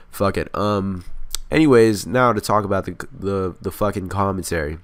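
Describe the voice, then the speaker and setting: Deep-voiced congested man, close to the mic. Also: a bit bright, clean tone, no echo. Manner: rambling, profane, candid. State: sick and congested. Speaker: gaming commentator. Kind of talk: casual commentary over gameplay. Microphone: close mic, inches away.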